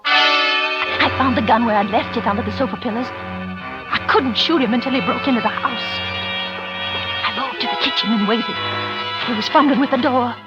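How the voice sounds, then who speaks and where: An actress in an old radio suspense drama playing a frantic, guilty wife, breathless and stammering in a melodramatic style. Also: female voice, on the high side, normal speed, pauses often, lightly acted. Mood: tense, haunted narration. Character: frantic, guilty wife pleading for her life. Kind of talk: 1940s radio suspense acting. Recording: dull old radio recording, loud music behind.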